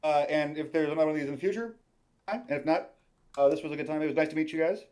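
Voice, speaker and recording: A man with a slightly high voice talks very quickly in an informal chat. He sounds like an unscripted guest among fellow cast members. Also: darkish tone. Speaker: commentary guest. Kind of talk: casual chat.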